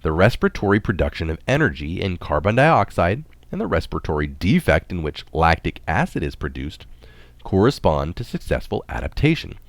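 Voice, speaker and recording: A man reading a health article aloud in a measured, explanatory manner, sometimes adding his own notes. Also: deep voice, no echo.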